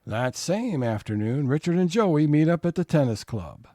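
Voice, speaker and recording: Guy narrating an audio play, mellow tone, slightly high with lively pitch, dry sound. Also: normal speed, dry recording, faint music behind. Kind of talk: scripted narration.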